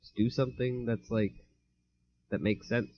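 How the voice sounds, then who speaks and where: A man's voice: medium pitch, fairly even intonation, moderate pace, highs cut off. A teenage boy co-hosting a casual podcast, rambling in a laid-back way with lots of fillers. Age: teens. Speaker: podcast co-host. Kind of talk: casual chat.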